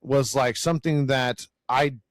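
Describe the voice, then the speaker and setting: A man with a growly, loud voice talks earnestly about faith, stumbling and repeating words as he gathers his thoughts. His pitch sits in the middle for a man. The recording is dry and close, with no room sound. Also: steady tone, unhurried, no room sound. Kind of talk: casual faith conversation.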